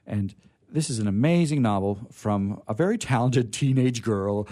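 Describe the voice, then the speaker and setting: Halting male host, mellow, lively pitch. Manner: halting, searching for words. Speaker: host of a radio theatre reading. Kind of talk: unscripted introduction to listeners.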